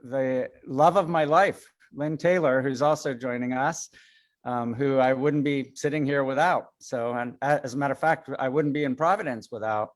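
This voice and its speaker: A man's voice: medium pitch, moderate pace. A man from Chicago giving a casual lecture over a video call, hesitant with many fillers and self-deprecating. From Chicago, United States.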